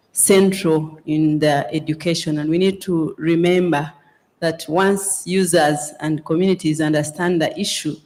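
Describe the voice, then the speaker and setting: A woman makes an earnest point from the floor of a conference hall. She speaks deliberately, with some hesitations. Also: sits low, darkish tone. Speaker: advocacy group representative.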